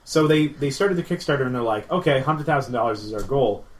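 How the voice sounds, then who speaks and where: A man's voice: medium pitch, lively intonation, fast. A man co-hosting a casual podcast, explaining games in a rambling, enthusiastic way full of fillers. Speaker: podcast co-host.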